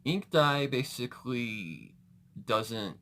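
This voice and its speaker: A man's voice: mid-range voice, very animated, slow, fairly bright tone. A man giving casual reaction commentary as a video host, rambling and correcting himself as he goes, with mild slangy exclamations.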